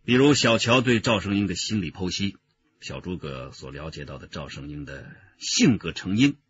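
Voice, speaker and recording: Seasoned detective, low-voiced, deliberate and authoritative, slightly muffled radio drama. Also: male voice, animated, a bit bright. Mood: appreciative. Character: seasoned male police detective leading case analysis. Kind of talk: Chinese radio drama acting.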